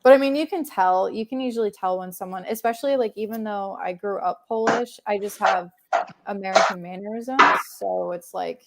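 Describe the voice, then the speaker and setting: A woman's voice: mid-range voice, fairly quick, gravelly, a little hiss or hum. A young woman hosting a casual livestream chat, rambling with many fillers and laughing often. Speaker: livestream host.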